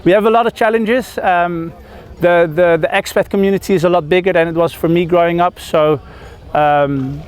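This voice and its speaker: Cricketer from the Netherlands, thirties, a touch high-pitched, halting, full of ums and restarts, outdoor press scrum. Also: male voice, fairly even intonation, smooth. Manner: hesitant, lots of fillers and restarts. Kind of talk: press interview.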